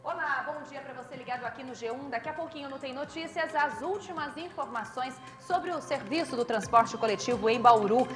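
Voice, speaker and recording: A Brazilian woman with a high voice delivers a scripted teaser as a news presenter. Music plays clearly behind her words. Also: brisk, few pauses.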